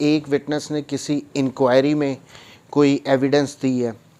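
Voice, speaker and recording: धीमी रफ़्तार में समझाता पाकिस्तानी लहजे वाला आदमी. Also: male voice, mid-range voice, darkish tone. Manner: mixes English terms and phrases into speech. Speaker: law lecturer. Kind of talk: explanatory law lesson, reading statute then explaining with examples.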